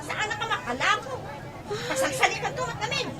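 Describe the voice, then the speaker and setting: Shrill market seller lady, scolding, super high pitch, noisy crowd all around. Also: animated, a little fast. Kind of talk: Filipino audio drama acting. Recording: loud busy outdoor market noise.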